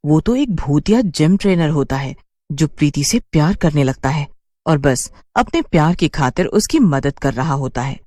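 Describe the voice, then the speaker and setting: Expressive, lowish-voiced woman narrating a story. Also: dry recording, clean studio sound. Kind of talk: scripted dramatic narration of a horror cartoon.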